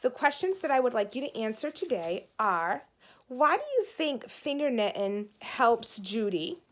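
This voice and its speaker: A woman narrating a children's storybook aloud in an expressive, deliberate way, with clearly phrased storytelling, like a teacher. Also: a little high.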